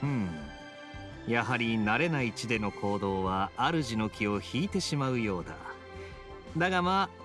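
A man voices a sly young knight captain from a video game, suave and teasing. The mid-pitched voice moves in lively swings over music. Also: close to an everyday voice. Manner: teasing, self-assured. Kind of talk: Japanese game voice acting.

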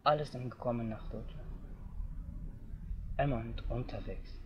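A young man from Afghanistan, telling his own story outdoors in simple, halting learner's German. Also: mid-range voice, muffled recording, a crowd in the background. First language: not German. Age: young adult. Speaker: interviewee. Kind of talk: personal testimony. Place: outdoors in tall grass.

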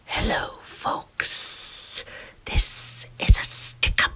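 A woman voicing a menacing male serpent robber in an amateur cartoon, with a breathy, whispery rasp and drawn-out threats. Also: a bit bright.

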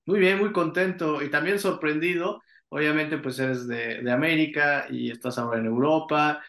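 A man's voice: on the high side, a bit bright, roomy sound. A man hosting an interview over a video call, asking friendly questions and nodding along with short murmurs. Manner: friendly, brisk questions, frequent "ujú" backchannels. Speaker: interview host. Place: home office with shelves. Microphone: video call webcam.

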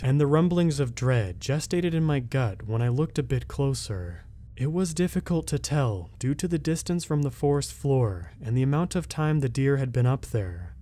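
A man reads a horror tale in the first person, slow and measured. His voice is mellow and dark-toned, sitting at an ordinary pitch. The reading is dramatic but steady. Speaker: horror story narrator.

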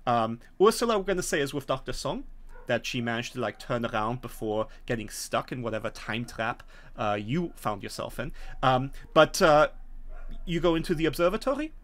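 A middle-aged man with a medium-pitched voice narrates a tabletop game as game master. He explains in a halting way, pausing often, and is recorded over a video call at home. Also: lively intonation, a bit bright. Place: home room, indoors.

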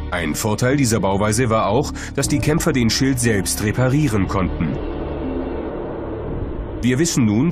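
Guy narrating a documentary, lowish voice, scripted, music going behind him. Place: studio voice-over. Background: prominent background music.

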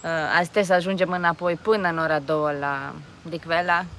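A woman's voice: on the low side, fairly even intonation, a bit bright. A woman chatting casually as a travel vlogger, recorded outdoors. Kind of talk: casual travel vlog chat. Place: outdoors near a jungle railway bridge. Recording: noisy outdoor recording with insects, traffic.

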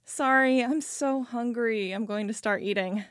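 A woman's voice: fairly high pitch, unhurried, no room sound, studio quality, lightly acted. A young woman playing a sociable, insistent friend in an amateur audio drama. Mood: chatty.

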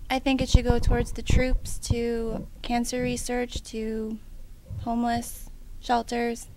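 Higher, even-toned young woman, noisy recording. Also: takes it slowly. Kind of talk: casual opinion interview. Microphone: handheld microphone held out by interviewer. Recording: clear background noise, clicks.

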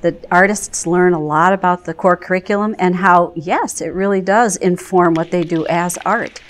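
A middle-aged woman with an American accent gives a conversational interview. Her voice sits on the low side, and she picks her words thoughtfully, with some halting phrases. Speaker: arts education program representative. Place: indoors in front of a curtain.

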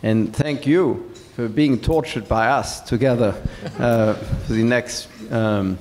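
A middle-aged man from France, speaking as a guest on stage in a large hall, pausing with many fillers. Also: mid-range voice, a little mellow. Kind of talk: onstage conversation. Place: large event hall with seated audience. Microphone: handheld mic.

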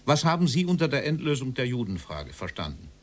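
An actor playing a stern interrogator in a documentary radio drama, formal and probing in manner. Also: male voice, mid-range voice, big pitch swings, brisk. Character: stern male interrogator questioning a Nazi-era official.

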